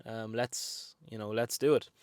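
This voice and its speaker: A man's voice: fairly low pitch, fairly even intonation, measured pace, a little mellow, clean tone, no echo. A man in his twenties from Lithuania, speaking English with a Lithuanian accent, telling a personal story in a chatty, frank way with many fillers. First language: Lithuanian and Russian. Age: 20s. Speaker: vlogger. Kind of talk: casual storytelling to camera.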